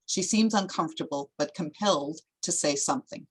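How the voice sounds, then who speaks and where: A woman narrates a screenplay at a table read. Her voice is fairly low. She reads the scene directions plainly and descriptively. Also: fairly quick, husky, poor audio.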